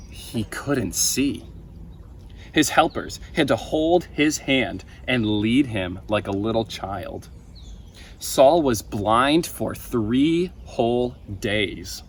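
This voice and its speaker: A young man reading a children's Bible story aloud in a deliberate, expressive way, with emphatic pauses. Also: medium pitch. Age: young adult. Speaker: storybook reader for a camp story time. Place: outdoors on a wooden deck by a pond. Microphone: camera a few feet away. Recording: outdoor ambient noise.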